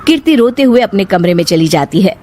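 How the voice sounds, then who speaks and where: A woman's voice: mid-range voice, expressive, a little fast, a little mellow. A woman narrating a family story in a plain storytelling way.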